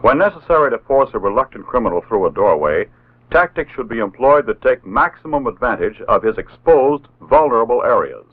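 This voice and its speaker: An American man narrating a 1960s instructional film script in a formal, authoritative, measured way. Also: medium pitch, very animated. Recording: tinny narrow-band old film soundtrack.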